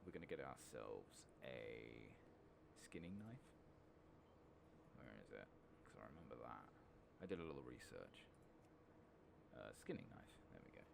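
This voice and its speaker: A man giving soft-spoken, casual ASMR commentary over a video game, hushed and intimate and at times dropping to a whisper. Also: a bass voice, lively intonation, measured pace, vocal fry, long pauses, noisy background. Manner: casual, mildly sweary. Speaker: host of a gaming ASMR channel.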